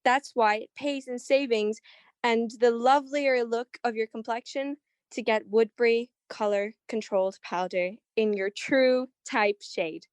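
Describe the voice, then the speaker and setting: A woman's voice: a little high, unhurried, dry recording, natural voice. A woman playing a polished, persuasive announcer reading a 1940s-style radio advertisement. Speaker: commercial announcer.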